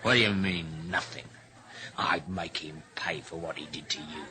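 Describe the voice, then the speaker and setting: An actor in a radio drama playing a taunting, insistent crony who is out for blood. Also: male voice, a bass voice, normal speed, bright, forceful tone, exaggerated voice. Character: goading, bloodthirsty male crony.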